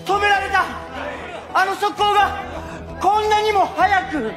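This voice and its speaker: A man's voice: extremely high pitch, unhurried, a crowd in the background. An actor playing an eager, impetuous teenage boy volleyball player in a stage play, earnest and exclamatory. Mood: shocked, frustrated. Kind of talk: stage play acting, projected delivery. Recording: stage play audio under music.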